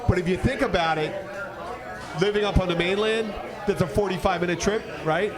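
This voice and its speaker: A man chatting casually as a podcast host, telling stories in an animated, rambling way. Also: high-pitched, fairly quick. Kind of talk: casual bar-side chat. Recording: loud crowd noise, outdoor urban setting. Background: loud crowd and outdoor bustle.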